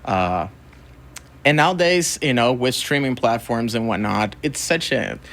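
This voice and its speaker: A man's voice: medium pitch, animated, a little slow, fairly bright tone. A man from Brazil speaking casually as a guest in an interview. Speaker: interview guest, record label co-founder and music photographer.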